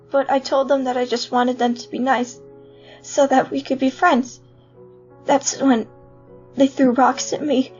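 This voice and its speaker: A woman voicing a gentle, earnest young skeleton boy with hesitant delivery in a fan comic dub. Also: high-pitched. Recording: muffled lo-fi audio over music.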